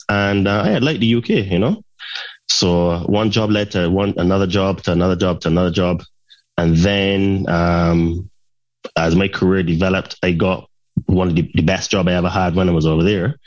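A man's voice: low-pitched, no room sound, lo-fi sound. A middle-aged man from Barbados, a podcast guest telling his life story in a rambling way, with many fillers and restarts. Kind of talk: casual storytelling.

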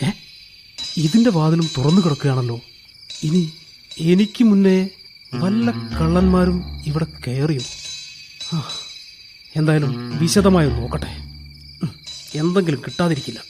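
A man's voice: a little high, expressive, slow pace, pauses often, music in the background. An actor playing a nervous burglar in a radio play, muttering to himself as he sneaks through a house, then pleading when caught.

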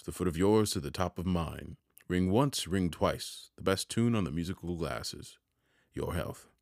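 A man reading an audiobook who voices a brisk, hearty soldier sergeant in loud, clipped tones. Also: low-pitched, creaky, dry recording. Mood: jovial toasting. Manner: loud, clipped military orders. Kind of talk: audiobook character voice. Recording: dry close studio sound.

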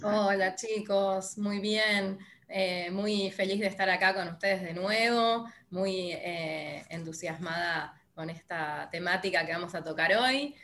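A woman with a mid-pitched voice speaks over faint ambient noise. She chats casually as a podcast co-host. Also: slow, a bit bright. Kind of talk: casual greeting.

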